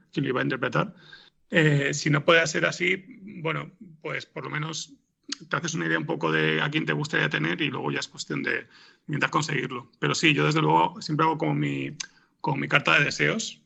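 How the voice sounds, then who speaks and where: A man giving informal interview answers as a scriptwriter, haltingly, repeating words as he thinks, with a gravelly, husky voice. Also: on the high side, sharp tone. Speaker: podcast scriptwriter being interviewed.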